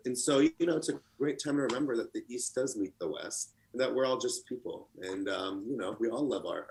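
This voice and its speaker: A man in his thirties from Lebanon, raised in the US, chatting casually as an art contestant with a relaxed, rambling delivery full of fillers. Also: mid-range voice, rough recording. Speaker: artist contestant. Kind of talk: casual chat.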